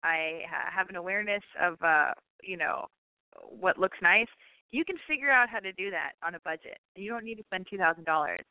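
A woman's voice: lowish voice, a little fast, dry recording. A woman hosting a call-in show, giving blunt, emphatic advice to callers. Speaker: radio call-in host. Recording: phone-like narrow sound.

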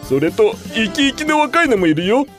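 Radio-play sushi boss, played by a woman, friendly and gruffly direct, swooping delivery over clear background music. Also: on the high side, very animated, normal speed. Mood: friendly, pitching. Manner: hearty old-Tokyo shopkeeper talk. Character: friendly, blunt male sushi bar master. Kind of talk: comic radio drama.

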